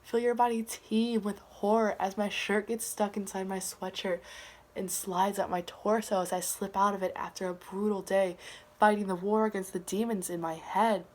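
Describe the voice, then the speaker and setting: A woman performing a slam poem in a dramatic, earnest and deliberate delivery. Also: medium pitch, level tone. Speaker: slam poet. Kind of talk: slam poetry recitation.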